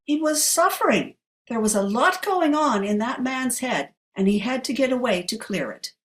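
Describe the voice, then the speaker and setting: A woman's voice: a little high, expressive, normal speed, lightly acted. An actress playing a sympathetic, curious woman in an audio play.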